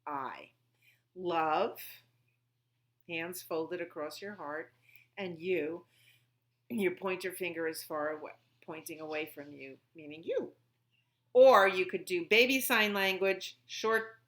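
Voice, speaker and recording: A woman's voice: fairly low pitch, takes it slowly, pauses often, fairly bright tone. A woman hosting storytime for young children, reading picture books aloud and explaining things simply and expressively. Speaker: children's library storytime host.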